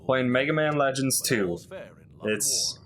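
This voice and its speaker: A man's voice: mid-range voice, expressive, slow pace, fairly bright tone. A man giving casual commentary over game cutscenes, explaining things in loose, conversational asides. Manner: explanatory, filler-heavy asides. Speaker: gaming video commentator.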